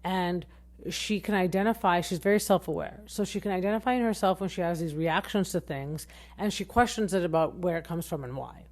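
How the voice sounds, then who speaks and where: A woman in her thirties chats through a podcast recap in a slightly low voice. She is highly expressive, and her pitch swings up and down as she talks. She rambles loosely, with plenty of fillers and restarts. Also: a little fast. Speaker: podcast host. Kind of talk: casual reality TV recap.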